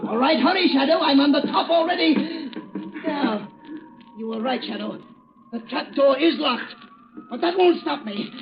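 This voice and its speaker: An actor playing a crazed, vengeful poisoner in an old radio thriller, taunting and hysterical. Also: male voice, extremely high pitch, expressive, normal speed, soft background music. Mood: gloating, taunting. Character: crazed vengeful male poisoner, gloating maniac. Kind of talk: 1940s radio thriller acting. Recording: muffled, noisy old radio recording.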